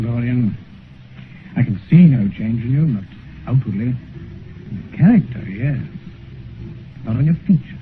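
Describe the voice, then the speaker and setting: An actor playing an earnest, wounded British gentleman painter, reproachful and pleading, in a 1950s radio drama. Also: medium pitch, close to an everyday voice. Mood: sorrowful. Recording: muffled 1950s radio recording, faint noise.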